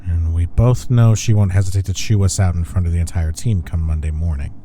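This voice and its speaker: A man acting as a cocky, sharp-tongued, flirtatious office rival in an intimate audio roleplay, with a smug, sarcastic way of talking. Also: deep voice, fairly even intonation, slight background noise, natural voice. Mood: weary, stern. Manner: smug, sarcastic, drawn-out taunting.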